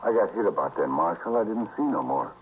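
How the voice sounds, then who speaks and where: An actor playing a battered, plaintive saloon bartender in a 1950s radio western. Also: male voice, sits low, lively intonation, fairly quick. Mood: shaken. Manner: complaining, ungrammatical, rattled. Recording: muffled vintage 1950s radio recording, faint noise.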